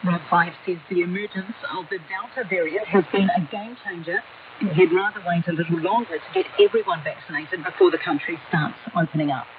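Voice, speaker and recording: A woman's voice: on the low side, a little fast, crowd noise. A woman with a New Zealand accent reading a scripted radio news bulletin over shortwave. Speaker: radio newsreader. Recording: noisy narrow-band shortwave radio broadcast, distant.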